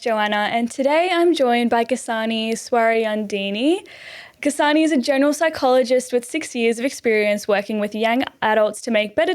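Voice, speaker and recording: A woman hosting a podcast in an informal, chatty way. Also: a little high. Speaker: podcast host. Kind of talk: casual podcast interviewing.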